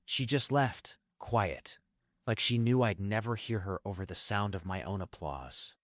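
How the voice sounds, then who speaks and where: A man acts a wistful magician in a musical stage play. His voice is low and closely recorded with little room sound. He carries old grief, softened by gentle humor. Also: a little slow, no room sound, studio quality. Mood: tender, regretful. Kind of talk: script table read, musical play.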